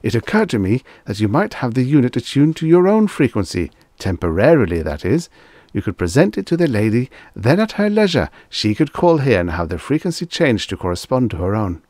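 A man's voice: medium pitch, big pitch swings, fairly quick, darkish tone, no echo, voice pushed up. A man voicing a fussy, deferential salesman in an audiobook story, anxious to please and formally wordy. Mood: eager. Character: eager, anxious, fussy salesman clerk, deferential and long-winded.

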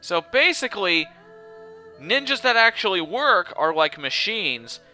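A man's voice: high voice, big pitch swings, measured pace, pushed and bright. A young man doing let's play game commentary, goofy and full of joking asides. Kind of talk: game commentary, reading dialogue aloud. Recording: music behind the voice. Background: game music.

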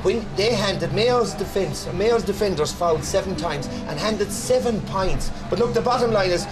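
High, effusive Irish pundit. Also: male voice, animated, normal speed, music in the background, low-quality recording. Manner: effusive, piles up superlatives. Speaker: studio pundit. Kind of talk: post-match sports analysis.

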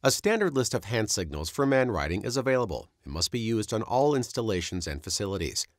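A man with a fairly low voice narrates a training course from a script. His intonation is lively and varied while his delivery stays measured and instructive. The voice-over sounds dry and close to the mic. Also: dry recording.

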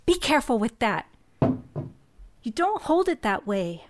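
An actress with a Mexican American accent playing a proud, vengeful young woman, intense and shifting between mutters and shouts. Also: on the high side, big pitch swings, slow, background noise, full character voice. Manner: intense, swinging from muttering to yelling. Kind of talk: audio drama acting.

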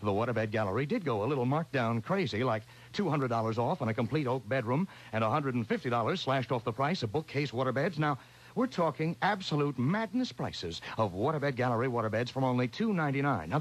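A man with a husky voice pushes a sale in a scripted 1980s radio commercial. His delivery is punchy and urgent, with a very lively pitch. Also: rough recording. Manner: punchy, urgent hard sell. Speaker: commercial announcer.

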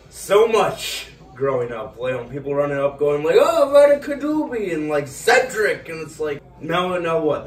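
A man's voice: mid-range voice, recorded from a distance, close to an everyday voice. A young man playing a sulky slacker brother, mocking and whiny. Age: young adult. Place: family house, indoors. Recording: roomy, off-mic echo.

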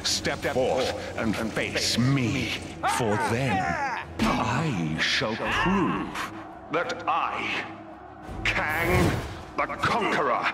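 A boastful conqueror from a cartoon game, declaiming in grand, theatrical style. The pitch swings widely and the pace is heavy and very slow, with menace throughout. Loud music runs behind him. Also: male voice, very animated. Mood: grandiose, defiant. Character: grandiose male time-travelling conqueror villain, boastful and menacing. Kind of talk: animated game villain acting.

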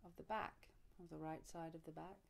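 A woman leading a guided relaxation meditation, soothing and unhurried, speaking in short phrases with long pauses. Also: sits low, steady tone, vocal fry. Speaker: yoga nidra teacher, meditation guide.

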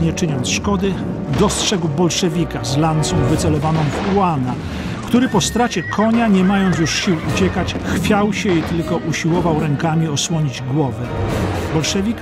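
A man narrating a war history audiobook in a dramatic, scripted storytelling style. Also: sits high.

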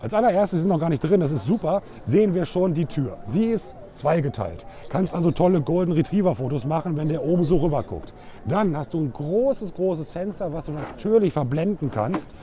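A middle-aged man hosts a casual product tour. His voice sits a little high but stays soft-edged and relaxed. He chats colloquially, as if showing a friend around. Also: fairly quick. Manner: chatty, colloquial, addresses viewer directly. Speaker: vlog host presenting caravans. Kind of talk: casual walk-through product presentation. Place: caravan trade fair hall and inside a caravan. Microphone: wireless clip-on microphone.

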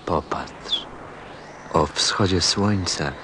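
A man's voice: low voice, drawn-out words, background noise. An actor playing a tender, thoughtful pilot in a radio play, a grown man speaking with reflective feeling. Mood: soft, concerned. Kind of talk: radio play acting and first-person narration.

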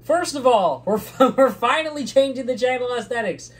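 A loud, excitable young man co-hosting a casual channel update in a small green-screen room, laughing often. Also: very high-pitched, moderate pace. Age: young adult. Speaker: channel co-host. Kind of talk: casual chat.

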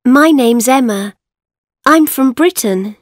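A woman voicing a slow, high-pitched pupil. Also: lively intonation, no echo, clean studio sound, full character voice. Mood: cheerful. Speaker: textbook audio voice actor. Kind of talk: slow scripted textbook dialogue for children.

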